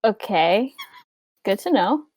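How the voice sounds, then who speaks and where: A woman's voice: mid-range voice, lively intonation, takes it slowly, darkish tone, no echo. A woman reading the part of a guarded, independent young female mage in a matter-of-fact way at an amateur script table read.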